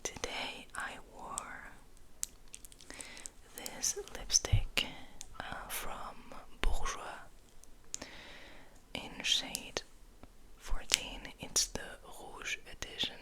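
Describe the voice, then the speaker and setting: A woman hosting an ASMR video in a breathy, intimate whisper, rambling casually and softly to the viewer. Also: very slow, long pauses, rough recording.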